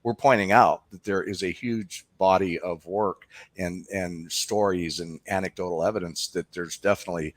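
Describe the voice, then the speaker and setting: A man with a low voice and a dry, close sound talks as the guest in an interview. He explains his work in an emphatic, conversational way, building point by point. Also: steady tone, normal speed, hardly any pauses, dry recording. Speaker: documentary filmmaker, interview guest.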